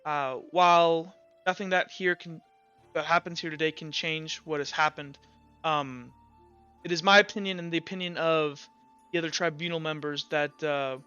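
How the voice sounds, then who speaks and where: Solemn, halting tribunal judge, played by a man. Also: on the high side, fairly even intonation, sharp tone, clean tone, dull audio, soft background music. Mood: grave, measured. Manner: halting, deliberate, formal. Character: solemn, formal tribunal judge, measured and procedural. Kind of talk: tabletop roleplay character speech.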